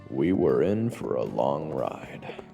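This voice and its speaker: A man's voice: extremely low pitch, very animated, takes it slowly, gravelly, mellow, long reverb tail, dull audio, exaggerated voice. A man playing a weary adventurer who narrates his own journey in a scripted first-person fantasy story. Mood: weary, reflective. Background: background music.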